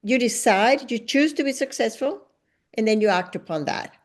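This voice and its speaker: A woman's voice: fairly high pitch, animated, normal speed. Woman hosting an interview. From Venezuela. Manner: assertive, emphatic, with self-corrections. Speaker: podcast host. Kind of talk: conversational interview.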